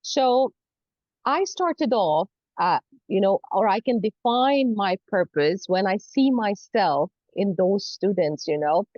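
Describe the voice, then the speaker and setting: Female lecturer as interview guest, non-native English, lively intonation, chatty and earnest, slightly dull dry recording. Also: mid-range voice, takes it slowly, no room sound. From Saudi Arabia. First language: not English. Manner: frequent "you know", earnest explaining. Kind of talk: conversational interview answers.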